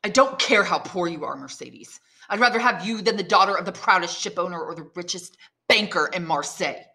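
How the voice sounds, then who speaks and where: Woman reading as a brooding, jealous young suitor, bright-edged. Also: lowish voice, fairly quick. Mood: pleading. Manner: intense, pleading. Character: brooding, jealous young man rejected in love.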